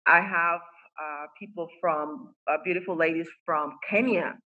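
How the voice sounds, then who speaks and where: Woman guest, Spanish as first language, earnest coach, husky tone, muffled call audio. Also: lowish voice, steady tone, measured pace. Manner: earnest, emphatic, many fillers and restarts. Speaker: guest, faith-based women's coach. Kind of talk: podcast interview. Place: home in Costa Rica.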